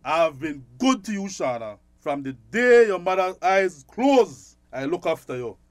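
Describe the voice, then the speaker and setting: Stern, high-voiced Belizean father. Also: very animated, unhurried, no room sound. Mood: angry, hurt. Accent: Belizean Creole. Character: stern, scolding middle-aged shopkeeper father. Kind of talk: radio drama acting.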